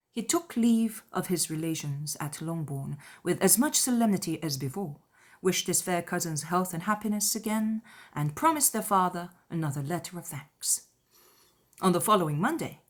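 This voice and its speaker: A woman reading scripted literary narration as an audiobook narrator, in a measured, formal manner. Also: lowish voice.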